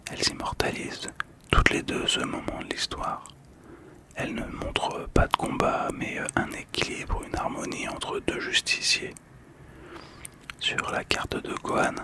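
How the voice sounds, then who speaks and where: A man speaks entirely in a hushed whisper, in the style of ASMR. His delivery is soft, calm and gentle. He describes what he examines in an unhurried way. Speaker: host of a manga card ASMR channel.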